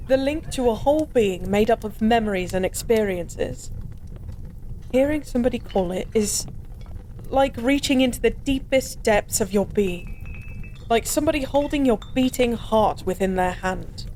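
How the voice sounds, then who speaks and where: A woman's voice: on the high side, measured pace, a little mellow, lightly acted. A woman playing a drunk, melancholy stranger who was once a god, confiding in a wistful, rambling, hesitant way in an intimate audio roleplay. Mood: wistful, sorrowful. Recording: clear background ambience noise. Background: bar ambience.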